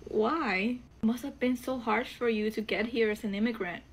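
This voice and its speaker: Puerto rican woman telling a story, highish voice, sardonic, bit of noise behind. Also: moderate pace. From Puerto Rico. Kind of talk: casual storytelling to camera. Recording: clear background noise.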